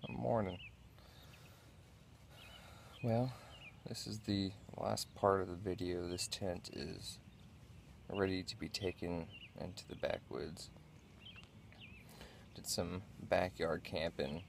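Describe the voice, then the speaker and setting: Casual male vlogger, deep and creaky, low-key and halting, reviewing gear in a tent. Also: steady tone, slight background noise. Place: inside a small backyard tent.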